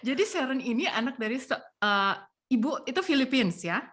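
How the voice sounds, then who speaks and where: A woman hosting a podcast in casual chat, playful and teasing, with loud laughs. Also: sits high, very animated, normal speed, breathy edge. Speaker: podcast host.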